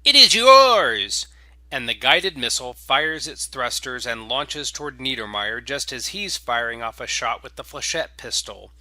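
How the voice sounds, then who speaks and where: A man reading scripted space opera fiction as a dramatic, deliberate narrator. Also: mid-range voice, pushed and bright, no echo.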